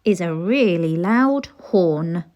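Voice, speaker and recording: A cheery, resourceful eccentric lady from a children's storybook, as a woman reader acts her out. She draws her words out slowly and decisively, with big swoops in pitch. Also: animated, darkish tone, lo-fi sound. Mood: determined, pondering. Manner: slow, emphatic, drawn-out delivery. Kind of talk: children's storybook read-aloud.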